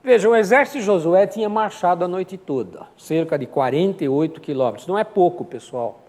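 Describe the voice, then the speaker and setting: An older man with a Brazilian accent speaks as a Sunday school teacher. His voice sits a little high for a man. He explains in an informal, didactic way, asking questions and answering them, and long reverb from the hall surrounds his words. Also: expressive, brisk, a little mellow. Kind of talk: informal Bible class lecture.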